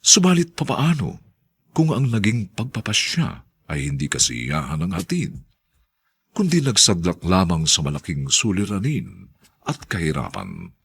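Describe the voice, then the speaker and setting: A solemn radio drama storyteller with a very husky, deep voice. He speaks slowly and deliberately, swinging widely in tone as he poses rhetorical questions. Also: a bit bright, heavily acted. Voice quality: gravelly, husky. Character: solemn male storyteller introducing a weekly drama. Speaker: narrator and host of radio drama.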